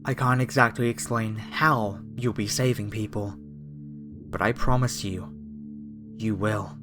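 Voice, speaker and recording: A man playing a gently menacing, fervently devout cult zealot in a horror ASMR roleplay. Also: lowish voice, steady tone, music in the background. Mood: eerily soothing. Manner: slow, soothing, solemn, menacingly tender.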